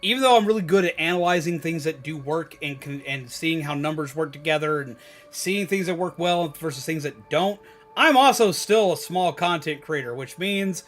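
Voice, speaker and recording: A man's voice: fairly high pitch, a bit bright. A man hosting a gaming livestream, chatting casually to viewers with rambling, emphatic repetition and some swearing. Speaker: gaming streamer and host. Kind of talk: casual livestream chat, giving advice to viewers.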